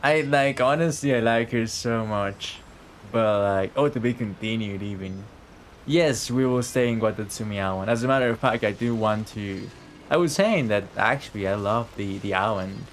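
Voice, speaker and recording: A man's voice: fairly low pitch, normal speed, slight background noise. A man doing casual live game commentary as a streamer, chatty and informal, repeating himself and swearing lightly.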